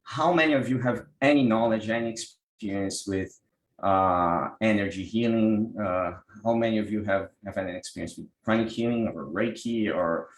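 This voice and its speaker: Brazilian-accented male presenter, very deep, halting delivery, roomy video-call sound. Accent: Brazilian Portuguese. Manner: hesitant, many restarts and repeated words. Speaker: workshop presenter, pranic healer. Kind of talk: online workshop talk.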